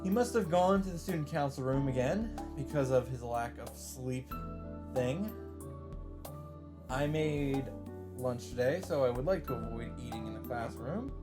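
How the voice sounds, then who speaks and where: A man's voice: medium pitch, unhurried, music in the background. A man reading visual novel text aloud for a let's play and adding wry, joking asides.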